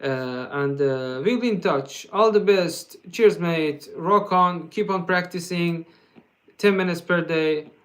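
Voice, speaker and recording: A man with a Persian accent, his voice sitting a little high, talks casually to viewers on a guitar livestream. He stumbles and restarts often, padding his answers with fillers, and laughs easily as he jokes. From Iran. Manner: halting, with frequent fillers and restarts. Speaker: guitar teacher hosting a livestream.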